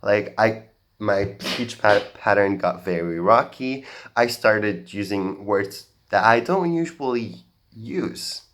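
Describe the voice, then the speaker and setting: Low-voiced young man giving a slow talk. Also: lively intonation, a bit bright. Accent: general American. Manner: earnest, halting with fillers and restarts. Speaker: speaker at a talk. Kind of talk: public talk, personal story. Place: auditorium stage.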